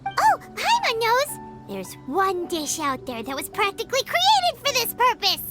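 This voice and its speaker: A woman's voice: very high voice, wildly swooping pitch, measured pace, heavily acted. A woman voicing a tiny, bubbly fairy companion who talks about herself in the third person. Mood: cheerful. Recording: game audio over loud music.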